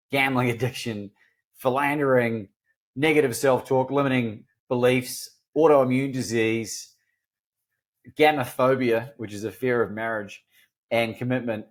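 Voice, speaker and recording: A middle-aged man with a mixed New Zealand and Australian accent, telling his life story as a podcast guest in an earnest, self-deprecating way with frequent restarts. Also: medium pitch. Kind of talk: candid storytelling interview.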